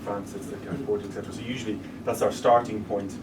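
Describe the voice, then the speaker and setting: An Irish man with a lowish voice explains his work as a guest speaker, steady and businesslike, often restarting mid-sentence. He sounds set back from the microphone, with a clear hum of room noise underneath. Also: quick pace. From Ireland. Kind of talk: interview talk to a live audience. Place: event room with an audience. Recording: distant mic with room noise.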